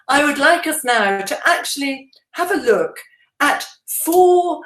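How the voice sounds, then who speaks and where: A woman with a fairly high voice leads a training session for teachers. She speaks slowly and explains each point in a measured, deliberate way. The sound is noticeably roomy, with audible echo around her words. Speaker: teacher trainer presenting a webinar. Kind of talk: instructional presentation to teachers.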